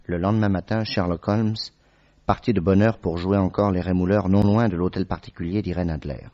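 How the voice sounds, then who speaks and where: An actor playing a genial, admiring gentleman friend and narrator, telling the story in a French radio drama. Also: deep voice, steady tone, a little fast, highs cut off, natural voice. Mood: measured narration.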